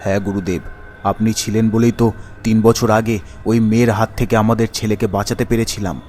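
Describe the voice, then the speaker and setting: A man's voice: on the low side, level tone, quick pace, dark tone, close to an everyday voice. Worried father, male actor for horror cartoon: urgent, superstitious, music under the voice. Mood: agitated. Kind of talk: animated horror story acting.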